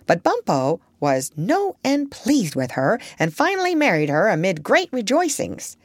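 A woman voicing an elderly female parrot who chatters on with excitable gossip. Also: medium pitch, no echo, clean studio sound. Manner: excitable, talkative, breathless gossip.

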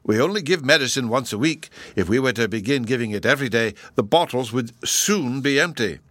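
A man's voice: medium pitch, animated, fairly quick, a bit bright, no echo. A man voicing a cheery, chuckling, absent-minded old professor in an audiobook. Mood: chattering. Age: older. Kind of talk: audiobook character voice.

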